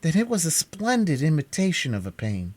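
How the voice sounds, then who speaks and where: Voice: mid-range voice, huge pitch swings, darkish tone, voice pushed up. A reader voicing a sulky, impatient young boy in a storybook. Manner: impatient, quick to complain.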